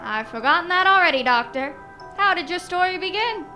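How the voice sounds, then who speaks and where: A woman's voice: very high-pitched, lively intonation, a little fast, pushed and bright, faint music behind, exaggerated voice. A woman playing an eager, friendly radio announcer who prompts a storyteller in an old-time style radio drama. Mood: eager, curious. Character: Mister Foreman, eager, friendly male radio host.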